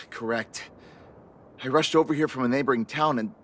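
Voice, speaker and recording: A man's voice: mid-range voice, moderate pace, a bit bright, lightly acted. A man voicing a timid, hapless, fretful traveling trader in a video game. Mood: sheepish.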